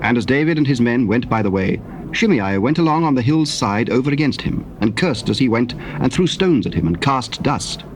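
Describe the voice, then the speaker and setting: A man's voice: mid-range voice, animated, a little fast. Male narrator, scripted scripture storytelling, solemn, varied intonation, noisy old recording. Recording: noisy old audio tape.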